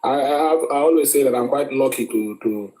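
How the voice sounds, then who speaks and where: Nigerian man, mellow voice, halting delivery. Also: medium pitch, low-quality recording. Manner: frequent hesitations and repeated words. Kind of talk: opinionated commentary.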